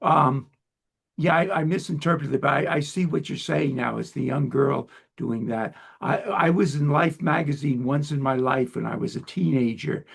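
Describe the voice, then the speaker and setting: A man in his seventies hosting a casual interview, talking in a rambling, halting and self-deprecating way. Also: fairly high pitch, vocal fry, husky. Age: 70s. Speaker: host interviewer.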